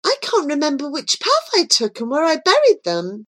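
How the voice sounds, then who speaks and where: A woman voicing an earnest, anxious young girl in a storybook, in a high, pleading, breathless manner. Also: wildly swooping pitch, moderate pace, a bit bright, exaggerated voice.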